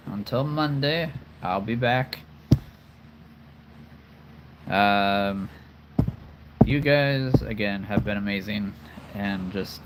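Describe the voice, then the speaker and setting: A man's voice: medium pitch, slight background noise, rough recording. A man hosting a casual livestream, talking earnestly and rambling with many fillers and restarts. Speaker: collectible figure channel host.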